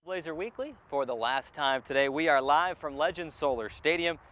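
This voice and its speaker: A man's voice: a little high, expressive, moderate pace, a bit bright, faint music behind. A man hosting a sports show in a conversational broadcast style, outdoors at a stadium.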